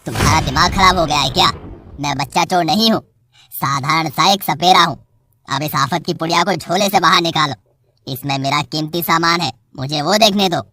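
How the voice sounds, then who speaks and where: A woman's voice: deep voice, normal speed, bright, forceful tone. A woman voicing an irritable, poor male snake charmer in a cartoon story, grumbling and blunt. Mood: snappish. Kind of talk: cartoon voice acting.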